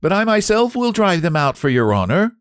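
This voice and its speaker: A man's voice: high voice, sing-song, a little fast, smooth, no echo, studio quality, voice pushed up. A man playing an eager, scheming young farm lad in a storybook tale, cheeky yet deferential. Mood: scornful, eager.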